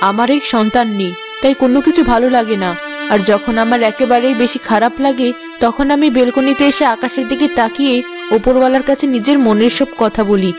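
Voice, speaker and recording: A woman playing a gentle, earnest, wistful queen in a fairy-tale cartoon. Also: fairly high pitch, fairly even intonation, brisk, over music, lightly acted. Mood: sorrowful.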